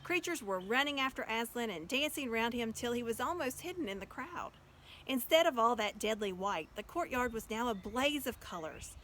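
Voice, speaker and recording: A woman reading a children's story aloud as an expressive storyteller. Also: fairly high pitch, moderate pace, slight background noise.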